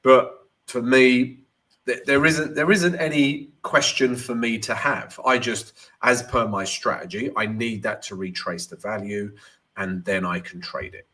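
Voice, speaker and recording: A man's voice: medium pitch, fairly even intonation, a little slow, pushed and bright. Congested guy doing a live trading stream, chatty, keeps saying right and guys, bunged-up nose. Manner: chatty, addresses viewers as guys, frequent "right?". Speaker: trading livestream host. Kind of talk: live stream chat, chart analysis.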